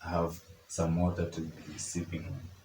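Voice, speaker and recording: A hoarse young man chatting informally as he critiques his old drawings, full of fillers. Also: deep voice, flat delivery, moderate pace, echoey room, noisy background. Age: young adult. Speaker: artist. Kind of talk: casual art tutorial chat.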